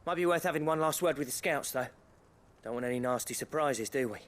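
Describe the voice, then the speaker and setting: A man's voice: mid-range voice, quick pace, a bit bright, natural voice. A male actor playing a plain-spoken, chummy fighter in a video game, wry and colloquial, with a working-class English accent. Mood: apologetic, casual. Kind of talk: dramatic game acting.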